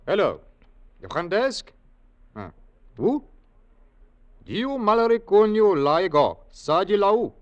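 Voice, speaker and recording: A man's voice: high-pitched, very animated, slow, pauses often, dull audio, exaggerated voice. An actor playing a genial, loyal older hotel proprietor with a fatherly, indulgent manner in a 1940s radio drama. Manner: fatherly, indulgent, with foreign phrases. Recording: noisy vintage radio recording, clicks.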